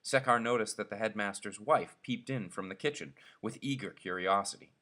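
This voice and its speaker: A man's voice: fairly low pitch, moderate pace, pushed and bright. A man narrating a short story expressively, as a reader telling it aloud.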